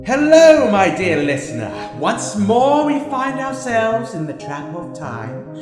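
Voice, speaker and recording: Man acting a slow, sinister, theatrical storyteller in reverb. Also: high voice, big pitch swings, heavily acted. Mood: grandiose, inviting. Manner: theatrical, drawn-out, florid. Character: sinister, grandiose male storyteller who relishes dark tales. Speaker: narrator and host of an anthology audio series. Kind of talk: theatrical audio drama storytelling. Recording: long hall reverb under loud music.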